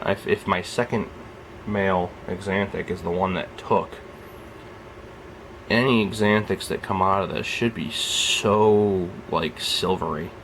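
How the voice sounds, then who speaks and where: A young man with a very low voice, just out of bed, rambling softly in a casual vlog as he films his snake at home. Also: vocal fry, a little hiss or hum, rough recording. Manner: rambling, soft-spoken, keeps his voice down. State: just woke up. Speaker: reptile keeper filming his snake. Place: at home beside a reptile rack.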